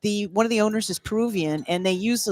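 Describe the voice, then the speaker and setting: A woman's voice: mid-range voice, fairly quick, darkish tone. A woman food writer, a guest on a talk show, chatting in an easygoing, self-deprecating way. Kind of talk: casual chat.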